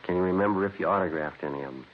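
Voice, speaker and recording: An actor playing a terse, businesslike police sergeant in a 1950s radio crime drama, speaking in a clipped, matter-of-fact way. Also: male voice, low voice, racing, a little hiss or hum, natural voice. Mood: businesslike, deadpan. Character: terse, businesslike male police sergeant narrating his case. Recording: muffled vintage radio recording with music.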